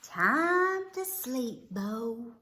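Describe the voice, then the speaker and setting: Storybook mother armadillo, played by a woman, proud, loving, slow, animated pitch. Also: reverberant, heavily acted. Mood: gently firm.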